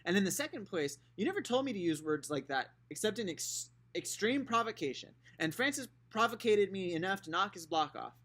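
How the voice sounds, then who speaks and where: A man's voice: high-pitched, big pitch swings, brisk, few pauses, fairly bright tone, voice pushed up, full character voice. Man voicing a feisty young girl. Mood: indignant. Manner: raised, pleading and indignant.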